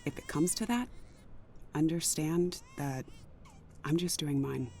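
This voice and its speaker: Actress as grave, principled female official; lowish voice, stubborn, understated drama, rumbly noisy background. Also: expressive, takes it slowly, warm tone, full character voice. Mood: grave, pained. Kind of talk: naturalistic audio drama acting.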